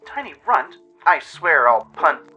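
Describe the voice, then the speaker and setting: A man's voice: on the high side, big pitch swings, takes it slowly, pushed and bright, slightly rough, soft background music, voice pushed up, heavily acted. A man voicing a small young mare pony, irritable and anxious, in a radio play. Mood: indignant.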